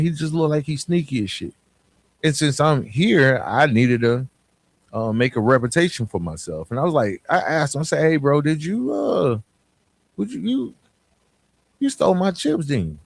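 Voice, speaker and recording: A man's voice: on the high side, animated, moderate pace, vocal fry. A man hosting a podcast, talking casually and motivationally in a colloquial, streetwise way with slang and personal storytelling. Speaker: podcast host.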